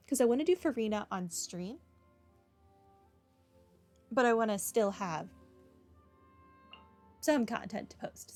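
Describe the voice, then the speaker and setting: A woman hosting a game playthrough with casual, chatty commentary. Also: mid-range voice. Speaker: gaming video host. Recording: soft music behind. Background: game music.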